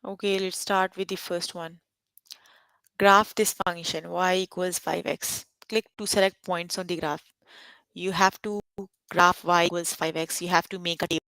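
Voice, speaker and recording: A woman's voice: lowish voice, flat delivery, moderate pace, a bit bright, smooth, dry recording, poor audio. A young adult woman teaching a live online math lesson over a computer mic, stopping often to check that students follow. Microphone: computer mic on an online call.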